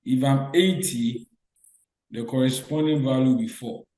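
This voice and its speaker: Un hombre imparte una clase en línea con voz de tono medio, grabado en una sala con eco. Repite las preguntas y pide respuestas breves a los estudiantes. Explica paso a paso, volviendo sobre cada idea. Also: male voice, mid-range voice, steady tone, moderate pace, warm tone, echoey room, poor audio. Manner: repetitive call-and-response questioning. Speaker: lecturer. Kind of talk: online biostatistics lecture.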